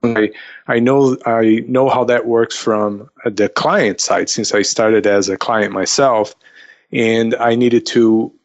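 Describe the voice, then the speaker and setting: A man answering conversationally as an interview guest, haltingly, repeating words as he goes, on slightly lo-fi audio. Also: medium pitch, fairly even intonation. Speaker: investment counselor, podcast guest.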